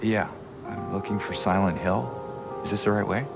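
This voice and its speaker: A weary, grieving widower from a video game, very low and gravelly. He speaks in hushed, halting phrases and broods as he searches for his lost wife. Loud music plays over his voice. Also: fairly even intonation. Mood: hesitant, resolute.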